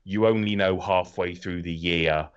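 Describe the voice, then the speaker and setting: A man's voice: sits low, fairly even intonation, unhurried, clear, resonant tone, muffled recording. A middle-aged man hosting a casual podcast about video games, talking informally and swearing freely. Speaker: podcast host.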